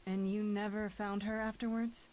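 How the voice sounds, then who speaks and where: An actress playing a wary, sharp-tongued young heroine in a video game, dry, questioning and confrontational. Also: female voice, medium pitch, flat delivery, moderate pace, a little hiss or hum, lightly acted. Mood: skeptical.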